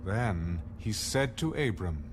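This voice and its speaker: A man narrating a dramatized Bible reading in a measured, solemn storytelling manner. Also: on the low side, expressive. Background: music.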